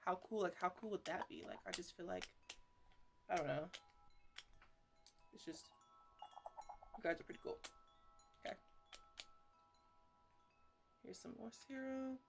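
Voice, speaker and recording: A woman's voice: lowish voice, expressive, vocal fry, noisy background. Woman acting as a rambling, eccentric botanist in ASMR roleplay. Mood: earnest, enthusiastic, then playfully scolding. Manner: rambling, halting, repeats herself. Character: eccentric defensive woman botanist fussing over her experimental plants. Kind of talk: ASMR roleplay, casual improvised chatter to plants.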